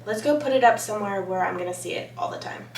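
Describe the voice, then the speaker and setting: A woman in her late twenties talking casually to her viewers in a relaxed, chatty vlog from her home office. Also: medium pitch, quick pace, gravelly, echoey room, a little hiss or hum. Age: 20s, about to turn 30. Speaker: vlogger.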